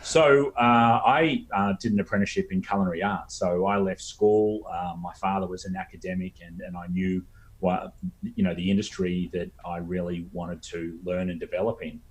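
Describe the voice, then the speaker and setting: Male interviewee, middle-aged, Australian accent, low voice, hesitant explanatory delivery. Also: fairly even intonation. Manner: halting, hesitant phrasing with frequent ums. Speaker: interview guest, apprenticeship expert.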